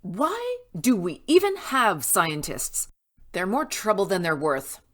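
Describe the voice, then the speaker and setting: An actress playing a cold, imperious corporate boss in an audio drama, curt and commanding with weary contempt. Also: female voice, medium pitch, wildly swooping pitch, slow, heavily acted. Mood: cold, exasperated.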